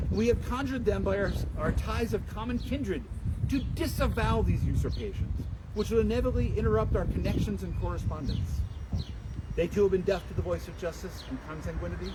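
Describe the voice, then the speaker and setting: High-pitched man declaiming solemnly outdoors. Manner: solemn, declamatory, deliberate phrasing. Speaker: public reader and livestream host. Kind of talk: dramatic reading of a historical document. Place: outdoors at a town memorial. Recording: noisy outdoor livestream, traffic and street sounds.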